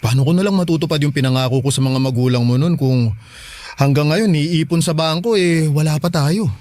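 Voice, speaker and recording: A man playing an earnest, anxious young father in a Filipino radio drama, speaking in a halting, pleading way. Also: fairly high pitch, a little mellow, natural voice. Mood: ashamed, troubled.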